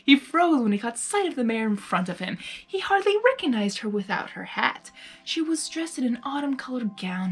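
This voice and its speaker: A woman reading fan fiction aloud with giggly, excitable asides. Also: sits high. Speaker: fan fiction reader and channel host. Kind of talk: animated blind reading of a story with casual asides.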